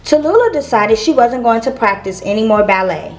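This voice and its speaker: A woman's voice: on the high side, expressive, fairly quick, slight background noise. A woman reading a children's picture book aloud as a storyteller.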